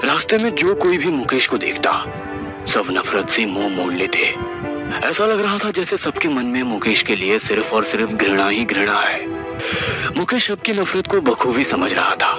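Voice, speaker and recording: A man narrating a horror story in a lively, expressive storytelling style. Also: medium pitch, moderate pace. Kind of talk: dramatic scripted story narration. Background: background music.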